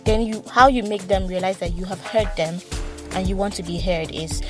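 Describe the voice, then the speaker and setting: A woman hosting a solo advice podcast, talking quickly with a slight stutter and frequent repeated words and restarts. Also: mid-range voice, music in the background. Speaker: podcast host.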